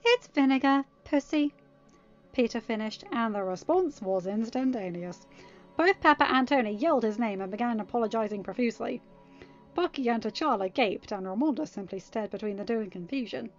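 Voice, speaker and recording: Woman, podfic narrator, higher-pitched, expressive reading, scripted storytelling, slightly muffled sound, music bed. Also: brisk. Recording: slightly dull recording.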